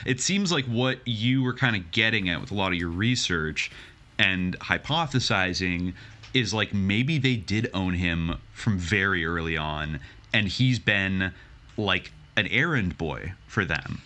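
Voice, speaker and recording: A man's voice: deep voice, animated, unhurried, pushed and bright. An American man hosting a podcast, talking casually and analytically in hedged, exploratory sentences. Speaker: podcast host.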